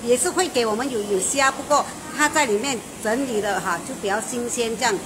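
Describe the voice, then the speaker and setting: A bubbly middle-aged woman runs a casual food show, her voice sitting fairly high. She chats enthusiastically, full of exclamations and fillers. The noisy restaurant around her comes through clearly on a handheld phone camera. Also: a little fast. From Malaysia. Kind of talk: casual restaurant review chat. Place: inside a restaurant.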